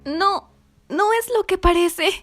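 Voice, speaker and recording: A woman acts out an emotional young girlfriend in a cartoon drama. Her voice is high, youthful and affectionate, with music faintly behind it. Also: takes it slowly, a bit bright, exaggerated voice. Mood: remorseful, pleading. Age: young adult. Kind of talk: acted dialogue for an animated story. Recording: voice over background music.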